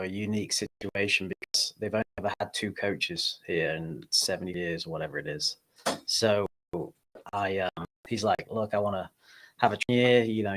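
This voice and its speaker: A man in his thirties from England, a golf coach, chatting casually as a podcast guest in a laid-back, halting way. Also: on the low side. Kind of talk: casual interview chat.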